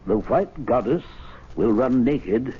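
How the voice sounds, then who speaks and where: An actor playing a fussy, credulous gentleman in a radio drama, earnest and hesitant in manner. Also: medium pitch, expressive, slow, slightly rough, full character voice. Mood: hesitant, curious. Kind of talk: 1970s radio drama acting. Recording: tinny, narrow old radio broadcast.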